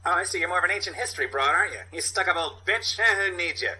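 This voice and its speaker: A man's voice: sits high, quick pace, bright, forceful tone, a little hiss or hum, voice pushed up, rough recording. A man voicing a foul-mouthed, indignant street newspaper vendor in a comic read-through of a cop show script. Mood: wheedling, indignant. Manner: wheedling, indignant.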